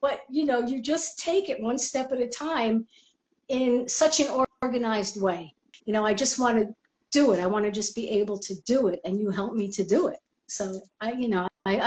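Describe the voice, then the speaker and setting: A woman student giving a casual, chatty testimonial in an animated, conversational manner. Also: mid-range voice, fairly quick, low-quality recording. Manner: animated, conversational, quotes her own thoughts.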